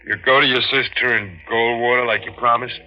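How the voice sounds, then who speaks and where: An actor playing an older fisherman skipper, a pleading, guilt-haunted husband, in halting, dramatic 1940s radio style. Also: mid-range voice, fairly even intonation, close to an everyday voice. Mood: tender pleading. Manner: intense, halting, dramatic delivery. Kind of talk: 1940s radio acting, first-person narration and dialogue. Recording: dull vintage radio recording with music.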